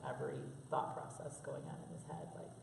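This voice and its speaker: A woman, hoarse from allergies, talking frankly about parenting. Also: fairly quick, a little mellow, a little hiss or hum. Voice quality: gravelly. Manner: frank, chatty, laughs often. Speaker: interview guest, mother. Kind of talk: candid conversational interview. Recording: echoey room from a distance.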